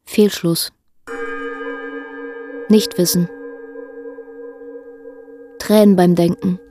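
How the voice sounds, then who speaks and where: A woman's voice: on the low side, steady tone, very slow, music in the background. An actress playing a stern, oracular woman who pronounces terse, declarative phrases in a poetic radio play.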